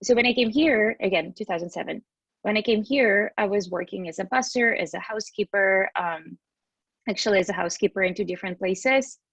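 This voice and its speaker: A woman's voice: medium pitch, fairly quick, gravelly, fairly bright tone, husky, no echo. A woman in her thirties with a Russian accent, telling her story casually and expressively as a podcast guest, in a chatty way. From Western Siberia, Russia.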